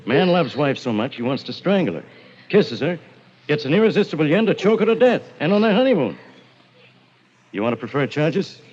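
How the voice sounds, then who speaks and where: Wry, animated hard-boiled inspector. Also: male voice, a little high, brisk, slightly rough. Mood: incredulous. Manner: wry, slangy, incredulous. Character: wisecracking, skeptical, hard-boiled police inspector. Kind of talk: 1940s radio drama acting. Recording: muffled old radio recording.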